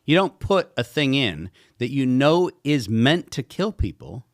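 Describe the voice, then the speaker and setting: Man, mid-pitched, emphatic guest expert who throws out rhetorical questions; dry, close recording. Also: sing-song, a little slow, no echo. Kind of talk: podcast conversation.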